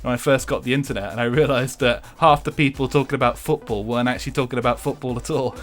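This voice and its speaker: A man's voice: mid-range voice, steady tone, quick pace, faint music behind. A young English man chatting casually with his viewers while streaming a racing game, swearing freely and laughing often.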